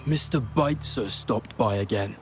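A man's voice: fairly low pitch, a little slow, over loud music, close to an everyday voice. An actor playing a cautious, matter-of-fact male henchman in an anime game. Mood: wary. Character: candid, cautious male henchman posing as an exile.

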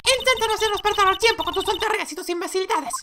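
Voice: very high-pitched, rapid-fire, sharp tone, slight background noise, pitched above the performer's own voice, exaggerated voice. A performer voicing a haughty, scornful young female prosecutor in a game, curt and imperious. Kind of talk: video game dialogue read aloud with character voices.

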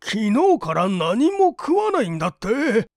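Man acting emphatic, blustering older gentleman, slow delivery. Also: high-pitched, wildly swooping pitch, creaky, no echo, clean studio sound, voice pushed up, exaggerated voice. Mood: agitated. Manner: emphatic, old-fashioned, grandly exclaiming. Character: well-off older gentleman, blustering yet kindhearted, easily moved.

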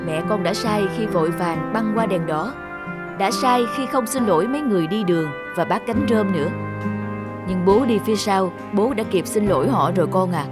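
A man plays a gentle cartoon father. He explains right and wrong patiently, with music clearly audible behind his voice. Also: high voice, normal speed, natural voice. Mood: gently firm. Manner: patient, instructive. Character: gentle, reasoning father of a small boy. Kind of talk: cartoon dubbing.

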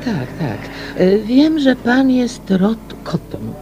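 A woman's voice: fairly high pitch, wildly swooping pitch, slow pace, muffled recording, background noise, exaggerated voice. A woman in a radio drama playing a kindly amateur sleuth, patiently coaxing and probing.

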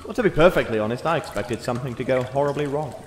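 A man's voice: mid-range voice, expressive, fast talker, close to an everyday voice. A man playing an exasperated, squeamish swordsman with a dry, sarcastic, fussy manner in a comedy fantasy cartoon. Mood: wary. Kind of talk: comedic animated fantasy voice acting. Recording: echoey sewer-like reverb with noise.

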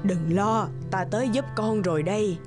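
A woman voicing a kindly old fairy in a cartoon, soft, slow, comforting and measured. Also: medium pitch, over music, lightly acted. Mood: gentle, reassuring.

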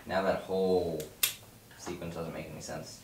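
A woman's voice: very deep voice, flat delivery, moderate pace. A young woman talking casually through script notes with a production team. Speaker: member of a web series production team. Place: home dining room. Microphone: far camera. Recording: distant mic, echoey noisy room.

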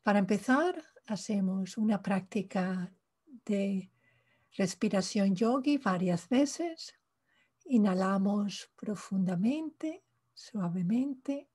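A woman leading a guided meditation in a soft, breathy voice, calm and hushed, pausing between short phrases. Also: medium pitch. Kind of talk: guided meditation, slow spoken instructions.

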